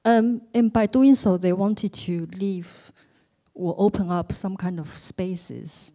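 A woman's voice: medium pitch, takes it slowly, mellow. A woman in a cinema audience asking a careful, polite question into a roving mic. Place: cinema auditorium. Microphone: roving handheld mic.

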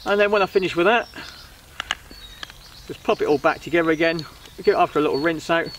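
A man with a high-pitched voice talks through gear in an informal, hesitant vlog style. He rambles as he goes, recorded outdoors at some distance from the camera, with clear background noise. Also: a little fast, a bit bright. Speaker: vlogger reviewing budget camping gear. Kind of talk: casual product review to camera. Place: outdoors on a wooden riverside deck. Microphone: camera a couple of metres away.

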